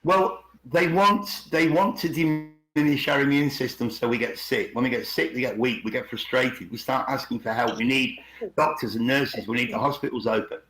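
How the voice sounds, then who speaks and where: A man's voice: medium pitch, steady tone, moderate pace, few pauses, fairly bright tone. A middle-aged man in casual, opinionated discussion on an online call, rephrasing himself emphatically as he goes. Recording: roomy, echoey online call.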